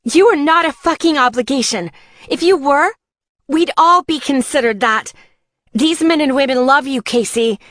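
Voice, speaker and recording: A fierce young biker woman, played by a female narrator, pitched high and loud in an audiobook. She is bossy and confrontational, pushing her loyalty with exasperation in a dry recording. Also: moderate pace, no room sound. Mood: fierce, insistent. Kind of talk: audiobook character dialogue.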